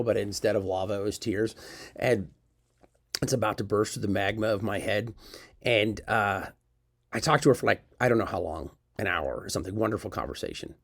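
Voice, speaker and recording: A man telling a personal story in an emotional, self-mocking way, with sudden jumps in pitch. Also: creaky.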